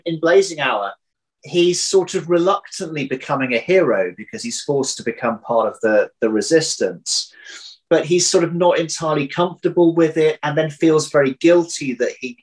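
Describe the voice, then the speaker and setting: A man in his thirties with a mid-pitched voice talks through his writing in an interview. He is reflective and conversational, often breaking off to rephrase, and plays himself down modestly. He speaks indoors at home, a little way from the computer. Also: rough recording. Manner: thoughtful, halting, self-deprecating. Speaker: interviewed audio drama writer. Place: domestic room indoors.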